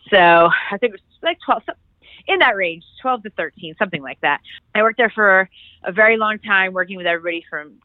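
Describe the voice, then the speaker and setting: A woman's voice: mid-range voice, dry recording. Stuffy-nosed woman on a tinny line. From Philadelphia area, US. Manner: chatty, rambling with fillers and restarts. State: stuffed up with a cold, nasal. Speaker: music publicist, podcast guest. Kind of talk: casual interview storytelling.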